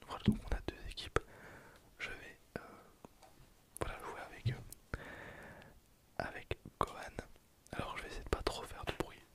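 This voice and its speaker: A young man gives casual, hesitant gameplay commentary in a breathy, unvoiced ASMR whisper. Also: moderate pace, long pauses, fairly bright tone. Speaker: ASMR gaming video host.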